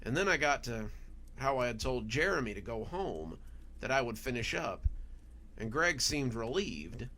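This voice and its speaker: A man's voice: mid-range voice, sing-song, moderate pace, pushed and bright. A man narrating a scripted first-person horror story as its storyteller.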